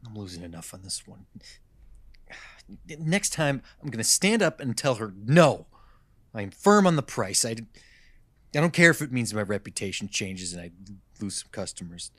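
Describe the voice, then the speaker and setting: Man acting a grumbling, frustrated mechanic, animated pitch. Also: moderate pace, no echo. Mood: frustrated muttering. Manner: hesitant, grumbling, swearing to himself. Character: frustrated small-town male mechanic, bitter and muttering. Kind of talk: amateur radio mystery drama acting.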